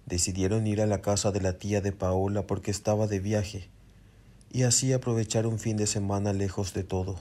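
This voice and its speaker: A man's voice: lowish voice, little pitch movement, normal speed, dark tone. A man narrating a scripted suspense audio drama.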